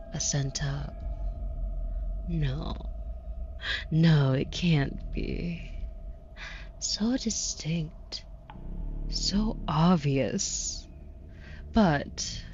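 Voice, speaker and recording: A woman acts out a seductive female demon in an audio roleplay, taunting and condescending. Her low voice moves very slowly and deliberately, breathy and slipping into whispers. She sounds flirty and quietly menacing, over noisy ambience. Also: lo-fi sound. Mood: intrigued. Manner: teasing, condescending, slow deliberate delivery dropping into whispers.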